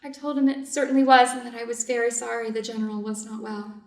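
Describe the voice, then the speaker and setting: A woman's voice: fairly high pitch, flat delivery, quick pace, darkish tone, close to an everyday voice. A woman playing an anxious, devoted doctor's wife in a reader's theater piece, earnest and careful in her delivery. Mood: anxious, relieved. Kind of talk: reader's theater stage reading. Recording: echoey room, distant mic.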